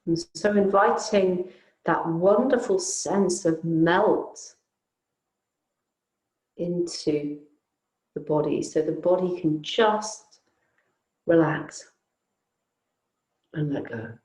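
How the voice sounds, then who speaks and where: A woman teaching yoga nidra, guiding a relaxation in a soothing, unhurried, gently inviting way with long pauses. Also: lowish voice, echoey room, rough recording. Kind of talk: guided relaxation meditation.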